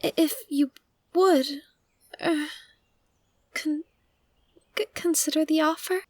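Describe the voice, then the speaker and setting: A woman playing a shy young nymph in a fantasy audio drama, bashful and hesitant, stammering softly. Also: high voice, steady tone, takes it slowly, no echo.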